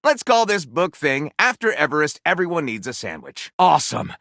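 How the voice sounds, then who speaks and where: A video game's pompous, excitable writer of lurid detective fiction. His voice sits a little high and swings widely in pitch, theatrical and sardonic. It sounds sharp and bright. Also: moderate pace, creaky, no echo, clean studio sound, full character voice. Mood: grandly pronouncing. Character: excitable, pompous male author of trashy erotic detective novels. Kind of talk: video game character voice acting.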